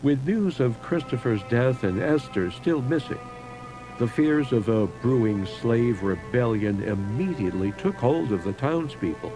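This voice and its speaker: A man giving a measured, solemn scripted narration of eerie local history, like a documentary host. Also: medium pitch, expressive, low-quality recording. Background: music under the voice.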